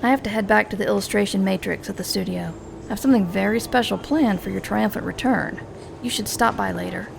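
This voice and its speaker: A woman playing a breezy, affectionate artist in an audio drama, with a creaky, gravelly voice and a familiar manner. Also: medium pitch, fast, noisy background. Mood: concerned, warm. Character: warm, breezy slender woman artist greeting her friend.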